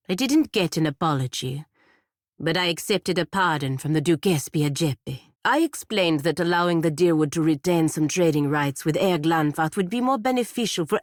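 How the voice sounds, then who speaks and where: Stern, dutiful female knight for a fantasy game, played by an actress: deep register, measured formal delivery, dry studio sound. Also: darkish tone, no room sound, lightly acted. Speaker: video game character voice actor. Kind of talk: scripted video game dialogue.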